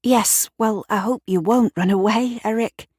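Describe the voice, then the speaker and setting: A woman voicing a brisk, bossy but caring female neighbour in an audio drama, insistent and reassuring. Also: mid-range voice, darkish tone, slightly rough, no echo, clean studio sound, pitched above the performer's own voice.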